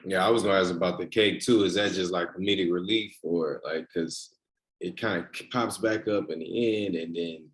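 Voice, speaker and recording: A man chatting casually as he asks questions, informal and halting, with a lot of "like". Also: low voice, steady tone, rough recording. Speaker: actor at a table read asking questions. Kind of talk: casual chat. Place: indoors on a video call.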